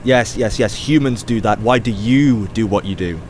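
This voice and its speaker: A man's voice: on the low side, moderate pace, a little mellow, slight background noise, close to an everyday voice. A man playing a wry, weary ethics professor in an audio drama, dry, sarcastic and mildly exasperated in a natural style. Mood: probing, weary.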